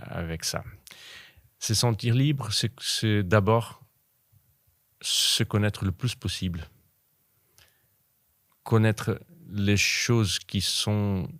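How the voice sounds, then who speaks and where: Man, very deep voice, halting, repeats words, dry close sound. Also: no room sound. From Brazil. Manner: halting, repeats words while searching for them. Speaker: podcast guest. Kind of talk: casual interview conversation.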